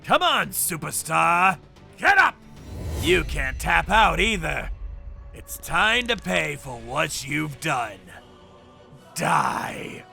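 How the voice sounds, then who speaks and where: Ruthless, gravelly vigilante torturer. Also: male voice, fairly high pitch, very animated, slow pace, sharp tone, exaggerated voice. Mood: mocking, aggressive. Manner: menacing, taunting. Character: ruthless male vigilante torturer, cold, mocking avenger. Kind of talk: dramatic first-person narration and acted fight dialogue. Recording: music behind the voice.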